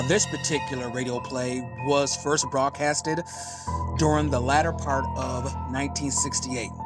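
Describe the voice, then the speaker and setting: Male host over prominent music. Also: mid-range voice. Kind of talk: scripted show introduction. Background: loud music.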